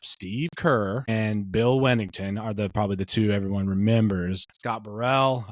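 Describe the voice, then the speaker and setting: A man's voice: lowish voice, normal speed, no echo, clean studio sound. A man in his late thirties co-hosting a casual podcast chat, rambling with many fillers and restarts. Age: 30s. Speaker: podcast co-host.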